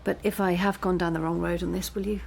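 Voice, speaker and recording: An actress playing a driven, privileged young postgraduate who argues insistently and articulately in a radio drama. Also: female voice, fairly low pitch, flat delivery, fast, vocal fry, a little mellow, a little hiss or hum. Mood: resolute. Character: earnest, driven, privileged female postgraduate student.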